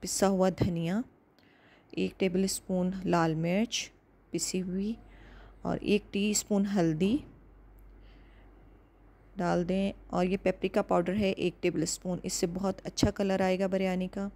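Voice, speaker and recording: A woman calmly narrating a step-by-step home cooking recipe as the host of a cooking channel, measured and instructive. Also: on the low side.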